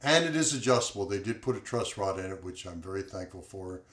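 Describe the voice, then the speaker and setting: A man reviewing an acoustic guitar in a relaxed, rambling way, with lots of fillers and a loud voice. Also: deep voice, brisk. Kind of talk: casual product review with playing. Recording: echoey room, guitar playing behind.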